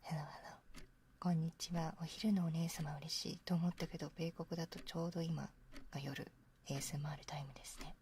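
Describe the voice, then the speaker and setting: Soft, low-pitched woman whispering ASMR. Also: level tone, very fast. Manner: soft-spoken, hushed, slipping into whispers. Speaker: livestream host. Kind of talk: ASMR casual livestream chat, often whispered. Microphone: close binaural microphone. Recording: close binaural capture with faint noise. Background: faint noise, soft clicks of a ticking clock.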